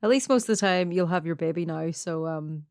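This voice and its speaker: A woman co-hosting a casual podcast, talking easily with lots of fillers and quick laughs. Her voice sits a bit low for a woman. The sound is close and dry, recorded on a headphone setup. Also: a little mellow, no echo. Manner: chatty, laughs often, many fillers. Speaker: podcast co-host. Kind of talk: casual chat. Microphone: headphones, studio mic.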